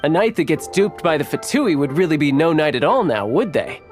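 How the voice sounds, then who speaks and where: An actor playing a suave, sly agent in a video game, theatrical and smooth, with formal, teasing phrasing. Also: male voice, fairly high pitch, big pitch swings, a little fast. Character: suave, sly male Fatui agent, polite schemer. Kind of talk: scripted video game character dialogue. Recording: game music behind the voice.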